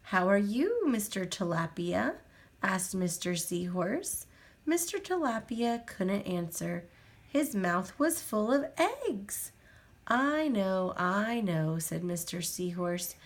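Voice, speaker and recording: Adult woman reading a picture book to a small child; slow, very expressive pitch, gentle sing-song delivery. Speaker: storyteller reading aloud to a child.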